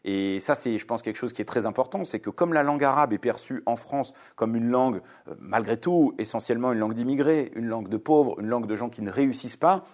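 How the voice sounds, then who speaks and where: A man's voice: mid-range voice, fast, darkish tone. A man in his forties, originally from Lebanon, sits as the author in an interview. He reasons aloud in long, fluent sentences broken by frequent hesitations. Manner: fluent, reasoned, many fillers. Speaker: author guest. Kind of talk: radio interview.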